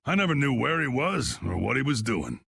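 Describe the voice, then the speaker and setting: A man voicing a gruff, commanding old guild boss in a video game, folksy, drawling and stubborn, with a gravelly, husky voice. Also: medium pitch, big pitch swings, brisk, fairly bright tone, a little hiss or hum. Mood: wry, evasive. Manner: folksy, drawling, plain-spoken. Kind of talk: video game dub acting.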